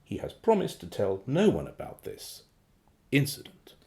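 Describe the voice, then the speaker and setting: A glib male secret agent with a mellow, dark-edged voice that swings wildly up and down. He reports to his boss with polite, slippery evasions, theatrically animated in radio drama style. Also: mid-range voice, normal speed, gravelly. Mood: breezy, confiding.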